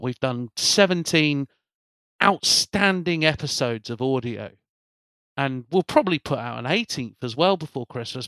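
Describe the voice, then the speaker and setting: A man's voice: fairly high pitch, a bit bright, dry recording. Man, podcast host, rambling casual chat, dry close sound. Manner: rambling, repeats words as he restarts.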